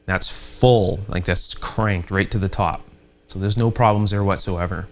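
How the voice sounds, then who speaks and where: A man giving an unhurried, methodical hands-on electronics repair demonstration, with conversational asides as he explains each step. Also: low-pitched, fairly even intonation. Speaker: host, electronics repair instructor. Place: indoor electronics workshop.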